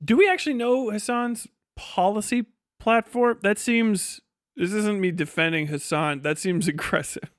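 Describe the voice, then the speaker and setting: A man's voice: sits high, moderate pace, fairly bright tone, dry recording, studio quality. A man giving casual, opinionated livestream commentary in a blunt, sarcastic and profane way. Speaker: livestream host reacting to a debate video.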